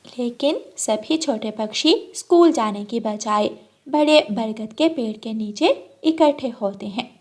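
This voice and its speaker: A woman's voice: a little high, animated, a little slow, darkish tone. A woman reading a scripted narration for a children's story.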